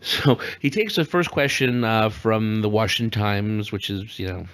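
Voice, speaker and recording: A man's voice: on the low side, normal speed, fairly bright tone. A man co-hosting a podcast with casual, joking political commentary full of teasing asides. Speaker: podcast co-host.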